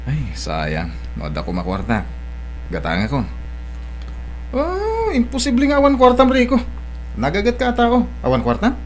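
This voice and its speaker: A man's voice: very high-pitched, huge pitch swings, measured pace, long pauses, slight background noise, exaggerated voice. A man with an Ilocano accent acting a brash, stubborn village farmer in a radio-style drama. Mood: pressing.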